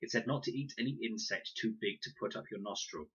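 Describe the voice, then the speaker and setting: A man's voice: lowish voice, a little fast, a bit bright, breathy edge, highs cut off, pitched above the performer's own voice. A man voicing an earnest, uneasy boy adventurer in a storybook, tentative as he reasons. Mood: defensive.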